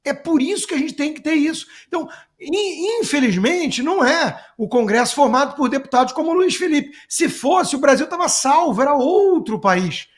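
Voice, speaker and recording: High-pitched Brazilian man, sarcastic commentator. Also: lively intonation, moderate pace. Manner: sarcastic, emphatic, colloquial. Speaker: political commentator. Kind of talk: opinionated political commentary, spoken off the cuff. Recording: music playing behind the voice. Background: music.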